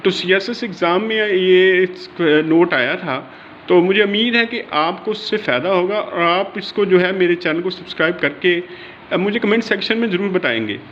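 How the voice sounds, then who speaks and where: High-pitched Pakistani man lecturing on law. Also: moderate pace. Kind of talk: informal exam-prep lecture, reading law text and explaining it. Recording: dull lo-fi sound, faint background noise.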